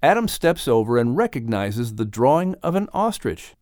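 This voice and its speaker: Animated male audiobook narrator. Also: mid-range voice, moderate pace, no echo, studio quality. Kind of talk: expressive scripted narration.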